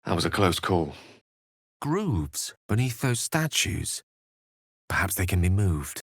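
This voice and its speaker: An actor playing an eloquent, wry wizard in a video game, speaking in articulate, theatrical phrases with an earnest streak. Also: male voice, deep voice, sing-song, takes it slowly, breathy edge, no room sound, studio quality, full character voice. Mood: observant. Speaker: video game companion character. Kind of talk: scripted video game voice acting.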